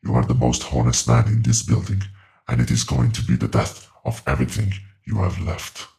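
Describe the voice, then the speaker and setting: An actor playing an ancient otherworldly being in a horror audio drama, solemn and coldly knowing, speaking in slow, deliberate, ominous pronouncements. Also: male voice, lively intonation, dark tone, exaggerated voice. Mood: relentless, accusing. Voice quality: very deep, gravelly creaking voice.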